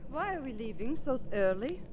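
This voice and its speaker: Animated countess in old radio drama. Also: female voice, high-pitched, normal speed. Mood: puzzled, curt. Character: inquisitive, haughty countess among villains. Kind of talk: 1930s radio acting. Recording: muffled, noisy 1930s radio recording.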